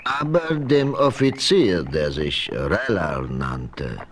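An actor playing an old Osage chief in a 1970s radio play, deliberate and grave, speaking of himself by name. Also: male voice, sits low, sing-song, crowd noise, full character voice. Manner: slow, deliberate, speaks of himself in third person.

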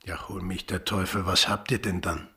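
An actor playing a gruff, hard-drinking sailor in a radio drama, brusque and suspicious. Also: male voice, sits low, level tone, normal speed, pushed and bright, exaggerated voice. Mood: brusque, demanding. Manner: brusque, demanding.

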